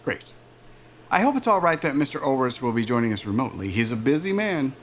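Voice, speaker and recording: A man's voice: medium pitch, sing-song, quick pace, slight background noise, close to an everyday voice. An actor playing a chummy, persuasive aerospace executive interviewer in a cinematic audio drama, with a chatty, polished salesman manner. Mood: brisk, polished. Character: smooth, glib male aerospace executive recruiter, chummy and persuasive.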